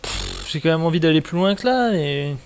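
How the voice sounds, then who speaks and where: Gravelly, animated male game streamer. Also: fairly high pitch, normal speed. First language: French. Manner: informal, slangy, mildly sweary. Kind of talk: casual gaming commentary.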